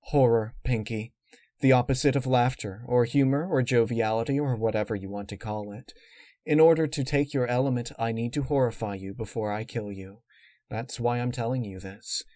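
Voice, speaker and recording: A man reads as a cold mare villain in a dark pony tale. The delivery is measured and lecturing, quietly menacing, at a medium male pitch with a very dry sound. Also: no room sound, close to an everyday voice. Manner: measured, matter-of-fact, lecturing. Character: cold, calculating female unicorn villain, calmly menacing.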